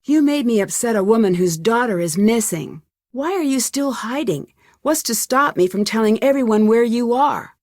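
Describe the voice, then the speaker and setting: An actress with an American accent playing an inquisitive, determined young amateur detective in a video game, speaking clearly and questioningly. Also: female voice, a little high, lively intonation, normal speed, darkish tone, no echo, studio quality, close to an everyday voice. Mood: pressing, confrontational. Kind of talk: scripted video game dialogue.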